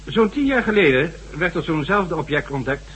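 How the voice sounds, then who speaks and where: A man's voice: fairly high pitch, very animated, moderate pace, a bit bright, rough recording. An actor playing a stern, sardonic government official in a radio drama, formal and condescending as he lectures. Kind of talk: Dutch radio drama acting. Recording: faint hum and noise, old radio recording.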